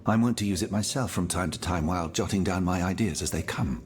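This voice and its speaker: An actor playing a learned, courtly older gentleman astronomer in a video game, genteel and thoughtful. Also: sits low, quick pace, natural voice. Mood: genteel, pondering. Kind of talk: video game dialogue acting.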